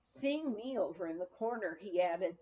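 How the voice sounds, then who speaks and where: A woman narrating a humorous memoir aloud, with expressive storytelling and deliberate phrasing. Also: medium pitch, highs cut off. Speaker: audiobook reader.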